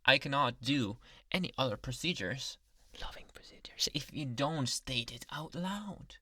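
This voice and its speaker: A man voicing a possessive, obsessive yandere doctor in an anime ASMR boyfriend roleplay, speaking softly and coaxingly, often in whispers. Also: medium pitch, expressive, unhurried, breathy edge, dry recording, full character voice. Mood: commanding, coaxing. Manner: soft, intimate, coaxing, often dropping into whispers. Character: possessive, obsessive male yandere doctor, sweetly menacing lover. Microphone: close microphone.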